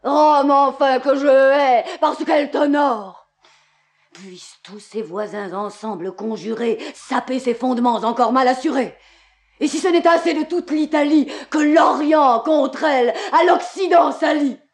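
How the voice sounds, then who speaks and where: A woman's voice: high-pitched, a little slow. An actress playing a grief-stricken young Roman woman cursing her homeland, in vehement classical French verse declamation. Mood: bitter hatred.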